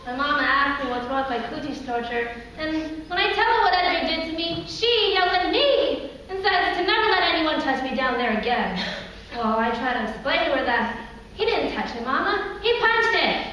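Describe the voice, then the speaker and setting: A woman's voice: sits high, normal speed, bright, forceful tone. Actress as a earnest girlish storyteller onstage, echoey. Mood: hurt, indignant. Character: woman reliving girlhood memories, childlike, hurt and earnest. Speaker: stage performer. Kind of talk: theatrical monologue. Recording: echoey hall, distant mic, faint noise.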